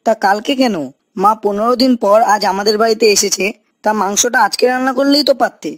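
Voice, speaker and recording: A woman's voice: fairly high pitch, fast, no room sound, lightly acted. Woman voicing a nagging young village husband. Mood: nagging, irritated. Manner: questioning, complaining. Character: dutiful, nagging young married village son. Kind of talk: cartoon character dialogue. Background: faint music.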